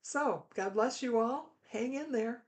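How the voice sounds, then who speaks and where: A middle-aged woman pastor, hoarse after throat surgery, giving a candid, chatty and reassuring health update to viewers. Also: a little high, takes it slowly. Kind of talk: casual health update vlog.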